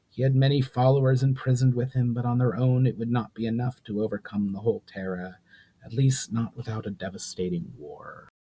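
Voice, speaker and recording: A man voicing an ancient, weary sorceress in a storybook reading, speaking slowly and solemnly with deep sorrow. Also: warm tone, husky, lightly acted, rough recording. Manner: slow, pained, solemn speech.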